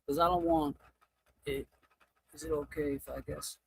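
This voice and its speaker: A man hosting a casual livestream, talking in a rambling, halting way and often breaking off mid-thought. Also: on the high side, flat delivery, creaky, breathy edge, no echo, poor audio. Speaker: livestream host.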